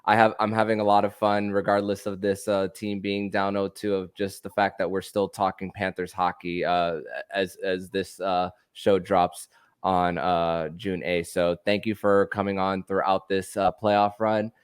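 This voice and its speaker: A man hosting a sports podcast, asking questions and thanking his guest, halting with many fillers and restarts. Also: low-pitched, flat delivery, clear, resonant tone, no echo, clean studio sound. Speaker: podcast host. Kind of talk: casual sports podcast interview.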